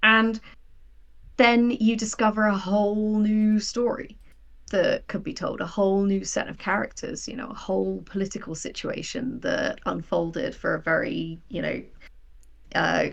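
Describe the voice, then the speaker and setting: A woman's voice: mid-range voice, vocal fry. A woman in her thirties chatting casually as an interview guest, explaining her creative work with rhetorical questions. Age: 30s. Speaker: guest writer of audio drama and fiction.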